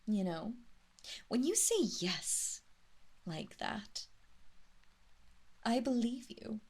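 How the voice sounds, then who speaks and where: A woman voicing a cruel, seductive vampire mistress in an intimate audio roleplay, teasing and menacing the listener in a breathy, taunting way that drifts into whispers. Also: a little high, animated, drawn-out words, darkish tone, full character voice.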